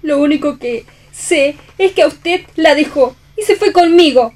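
Una actriz interpreta a una mujer caída, apasionada y desdeñosa, que defiende su amor con tono teatral en un radioteatro. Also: female voice, high voice, measured pace, darkish tone. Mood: defiant. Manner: theatrical, indignant. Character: scornful, passionate fallen woman defending her love. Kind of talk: theatrical radio play reading.

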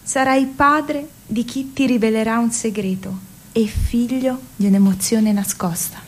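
A lyrical female oracle declaims in a slightly high voice. Her delivery is solemn and poetic, like a prophecy recited in a radio play. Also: steady tone, unhurried. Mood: solemn, prophetic. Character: lyrical female oracle foretelling a wanderer's future.

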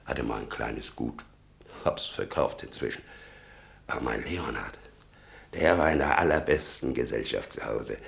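Gravelly, very deep-voiced, embittered old father. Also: lively intonation, a little slow, full character voice. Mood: proud, mournful. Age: older. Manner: muttering, brooding, with sudden outbursts. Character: embittered old father obsessed with his convicted son. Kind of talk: German radio drama acting.

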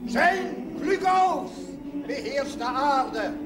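Slow, grandiose high-pitched male reciter. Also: lively intonation, heavily acted. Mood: solemn, exalted. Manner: grandiose, stately. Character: solemn man reciting a pious ode to miners. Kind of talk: declamatory stage recitation in verse. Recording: roomy hall, loud music, 1970s television soundtrack.

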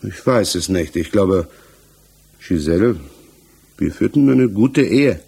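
A man acting a troubled, evasive defendant in a 1960s German radio crime drama, halting and searching for words as he defends himself. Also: low-pitched, animated, vocal fry, warm tone, breathy edge, exaggerated voice. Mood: guarded. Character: troubled male defendant, married father, haunted and evasive. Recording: 1960s mono radio production.

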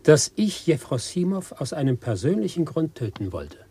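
A stern official. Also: male voice, on the high side, sing-song, normal speed, dark tone, husky, exaggerated voice. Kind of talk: 1980s radio drama acting.

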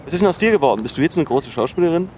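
An actor playing a bitter, obsessive ex-boyfriend in a naturalistic radio drama, speaking insistently in halting, repetitive bursts. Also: male voice, a little high, expressive, a little mellow, slight background noise, close to an everyday voice, low-quality recording. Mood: bitter, reproachful. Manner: insistent, accusatory, halting with repeated words.

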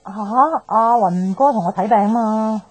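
Woman acting a chatty, husky Hong Kong young woman. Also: mid-range voice, steady tone, measured pace, a little mellow, close to an everyday voice. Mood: bantering, bustling. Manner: bantering, slangy, exclamatory. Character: chatty, teasing young woman, blunt and nosy. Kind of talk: 1990s radio drama acting. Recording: radio drama with music underneath.

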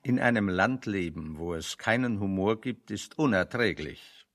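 An actor playing a bookish, wry refugee intellectual in a radio play, expounding philosophy in a pedantic, dryly ironic way. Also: male voice, on the low side, expressive, a little slow, a bit bright. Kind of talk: radio play dialogue, discursive monologue.

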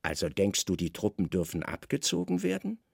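A man's voice: sits low, wildly swooping pitch, fairly quick, creaky, husky, no echo, clean studio sound, voice pushed down, exaggerated voice. An actor playing a weary, imperious Roman governor in a radio drama, irritable, brooding and probing in his questions.

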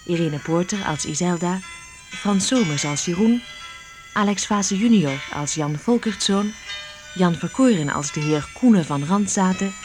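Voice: medium pitch, expressive, measured pace, darkish tone, faint music behind. An announcer reading scripted closing credits for a radio drama.

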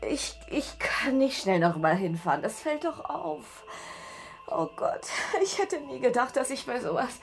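An actress playing a drunken, guilt-ridden woman in a radio drama, halting, whimpering and apologetic. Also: high-pitched, big pitch swings, gravelly, a little hiss or hum, exaggerated voice. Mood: panicked, apologetic. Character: drunken, guilt-ridden banker's daughter, flustered and weak-willed.

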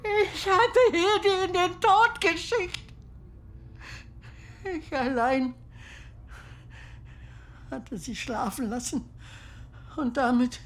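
An actress playing a grieving war survivor in a radio play, confiding guilt and secret love in measured, halting phrases that often sink toward a whisper. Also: female voice, high voice, slight background noise. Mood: anguished guilt. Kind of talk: dramatic first-person radio play monologue.

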